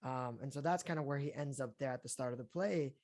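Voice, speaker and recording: A young adult man, an actor being interviewed, who talks in a relaxed, chatty way with lots of fillers. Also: mid-range voice, quick pace. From Colombia, raised in Florida and Houston. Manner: relaxed and chatty, with many fillers and easy laughs. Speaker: actor guest. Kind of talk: casual interview talk.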